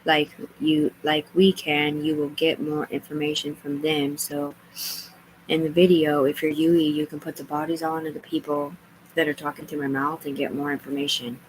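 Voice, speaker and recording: A woman's voice: sits low, level tone, moderate pace, slight background noise, rough recording. A woman livestreaming, rambling as she reads aloud and makes asides to herself and her viewers. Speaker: live streamer.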